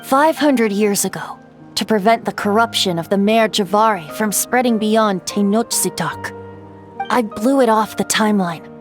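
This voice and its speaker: A woman's voice: medium pitch, normal speed, close to an everyday voice. A woman voicing a playful, wistful ancient spirit in the shape of a youthful bard in a video game, with a lilting, teasing, theatrical delivery. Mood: solemn, reflective. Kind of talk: scripted game character storytelling. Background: background music.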